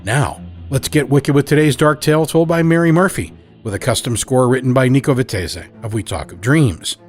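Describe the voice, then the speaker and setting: A man's voice: mid-range voice, moderate pace, faint music behind. Man hosting a scripted podcast. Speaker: podcast host. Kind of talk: scripted podcast introduction and announcements.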